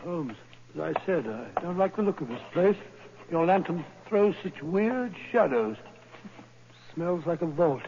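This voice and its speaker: A bluff, hearty companion in a vintage radio play. His voice sits high and exclaims readily. The broadcast is dull, with a faint hiss behind it. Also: very animated, unhurried. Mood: uneasy. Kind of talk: 1940s radio acting.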